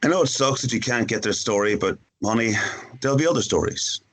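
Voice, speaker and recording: A man's voice: mid-range voice, animated, fast, lightly acted. A man acting a casual, teasing, frank boyfriend in a screenplay table read, speaking bluntly and familiarly. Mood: reasoning.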